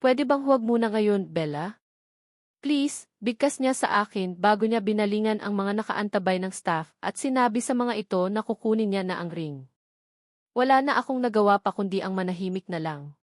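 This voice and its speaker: A woman's voice: mid-range voice, fairly quick, dry recording, studio quality. A woman with a Filipino accent reading a scripted first-person romance novel as an audiobook narrator.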